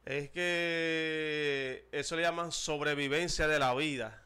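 A man's voice: on the high side, steady tone, slow, bright, forceful tone, clear, resonant tone. A man, hosting a studio radio show and telling boastful, playful stories about himself. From Dominican Republic. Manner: boastful storyteller, playful, slangy. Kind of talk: joking studio banter. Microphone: desk microphone.

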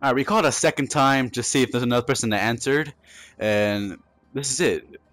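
Casual, halting man with music underneath. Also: medium pitch, a bit bright. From United States. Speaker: gaming channel host and prank caller. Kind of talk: casual video commentary and prank calling.

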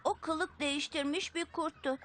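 A woman's voice: high-pitched, fairly even intonation, normal speed, a bit bright. A woman voicing a responsible, determined young rabbit in a children's cartoon, earnest and firm. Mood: regretful.

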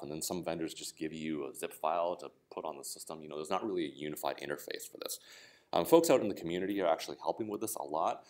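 Very deep-voiced man giving a tech talk. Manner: casual, explanatory, frequent "right?" and "um". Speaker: conference speaker. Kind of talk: technical conference talk. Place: conference room.

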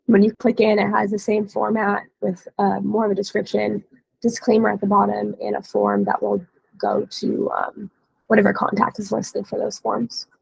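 Female webinar trainer, thirties. Scratchy, heavily creaky voice with a cold, even pitch, informal and explanatory, close headset sound. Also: moderate pace, no room sound. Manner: casual, explanatory. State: hoarse from a cold. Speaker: webinar trainer, dealer support staff. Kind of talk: informal software training walkthrough. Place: indoor room, on a video call.

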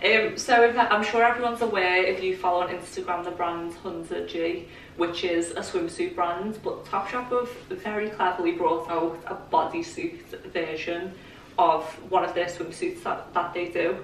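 A young woman, bubbly and quick to laugh, talking through clothes with a slightly low voice. The camera mic sits across a bright room, so she sounds roomy and far off. A faint hiss runs underneath. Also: steady tone. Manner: bubbly, laughing, filler-heavy. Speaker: fashion vlogger. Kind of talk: casual chatty haul. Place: bright bedroom with clothes rail. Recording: roomy echo, mic at a distance.

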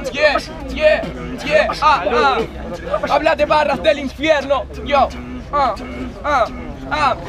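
A man's voice: extremely high pitch, slow, pauses often. A young man from Argentina with a Rioplatense accent, freestyle rapping in an outdoor battle. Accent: Rioplatense Spanish. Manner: rhythmic, steady rhyming delivery. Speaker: freestyle rapper. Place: outdoor city park crowd. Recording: loud crowd and beat music.

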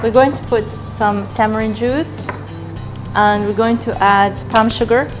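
A woman's voice: a little high, a little slow. Young woman hosting a cooking show, easy step by step talk, music under it, clinking pans. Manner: relaxed, step-by-step instructional. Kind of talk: cooking demonstration. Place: restaurant kitchen studio, indoors. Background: music, clinking pans and cutlery.

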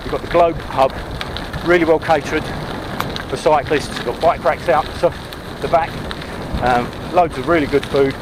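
A man's voice: on the high side, fairly even intonation, normal speed, long pauses. A man in his forties from England, chatting to an action camera while riding along country roads and a canal towpath. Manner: chatty, upbeat, rambling asides. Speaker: cycle touring vlogger. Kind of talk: casual talk to camera while cycling. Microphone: action camera on the bike or held at arm's length. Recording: outdoor action-cam audio with wind noise.

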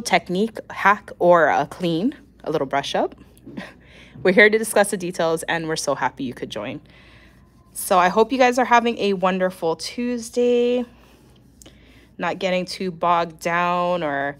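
A woman hosting a weekly nail art livestream, nasal and congested from a cold, with a scratchy voice, chatting casually and clearing her throat now and then. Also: fairly low pitch.

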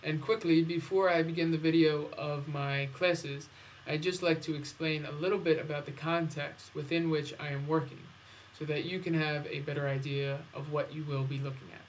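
A man's voice: fairly high pitch, flat delivery, moderate pace, hardly any pauses, slight background noise, low-quality recording. A man who is a native English speaker and teacher, formally introducing his classes in careful, prepared phrasing. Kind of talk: video introduction of own classes, prepared talk.

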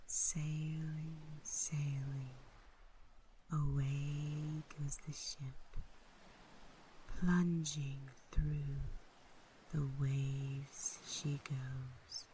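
A woman reads a relaxing poem in a soft, whispery voice, calm and measured, with long pauses. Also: deep voice, a little hiss or hum, poor audio. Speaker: poetry reader. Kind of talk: slow relaxing poem recital, soft and breathy.

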